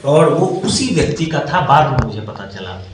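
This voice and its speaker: A man with a Bihari accent, a government officer giving a deliberate, argumentative explanation to a reporter, repeating his points for emphasis. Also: medium pitch, sing-song. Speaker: government land revenue officer. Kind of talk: explanatory defensive statement to a reporter. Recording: very echoey room, distant mic, faint noise.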